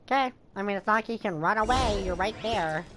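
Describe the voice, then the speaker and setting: A woman's voice: medium pitch, brisk, noisy background. A woman giving casual reaction commentary as she plays a video game. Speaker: gamer commenting on playthrough. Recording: game music behind the voice.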